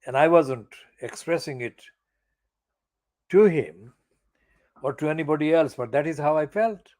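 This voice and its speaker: An older man delivering an angry, profane political monologue as a channel editor, stressing words slowly and deliberately. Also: on the high side, a little mellow. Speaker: channel editor giving commentary.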